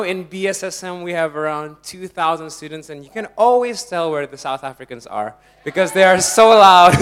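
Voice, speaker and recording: A young man from Indonesia, preaching a lively, jokey sermon to a congregation and laughing often. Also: high voice.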